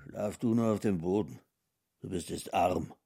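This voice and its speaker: A man performing a radio play monologue in a brooding, deliberate manner. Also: low voice, creaky, warm tone, husky, soft background music, heavily acted.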